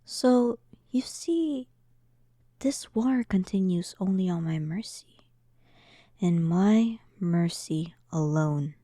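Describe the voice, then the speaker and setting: Demon queen for roleplay audio , female performer: dominant, sarcastic, unhurried, dark soft tone, very close and dry. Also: on the low side, lively intonation, no room sound. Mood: smug, menacing. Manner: slow, teasing, condescending. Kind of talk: scripted roleplay audio drama.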